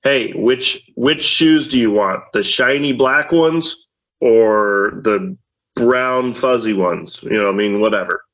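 A middle-aged father on a parenting podcast, talking loudly in a rambling, casual way with a mildly deep voice, often saying "you know what I mean.". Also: takes it slowly. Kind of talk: casual chat. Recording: dull lo-fi audio.

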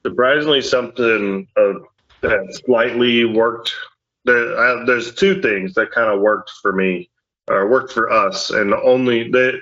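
A middle-aged father on a parenting podcast, talking loudly in a rambling, casual way with a mildly deep voice, often saying "you know what I mean.". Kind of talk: casual chat. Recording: dull lo-fi audio.

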